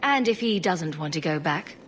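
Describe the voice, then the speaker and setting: A woman's voice: on the low side, expressive, normal speed, a little hiss or hum, close to an everyday voice. A woman playing a level-headed, compassionate young adventurer in a video game, composed and questioning. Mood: diplomatic, questioning.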